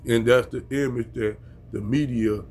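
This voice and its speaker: A man's voice: mid-range voice, fairly even intonation, moderate pace, a little mellow, a little hiss or hum. An American man in a casual rant at home, talking bluntly and with heavy profanity, chuckling at his own points. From United States. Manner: blunt, heavily profane street talk, chuckles at himself. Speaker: vlogger.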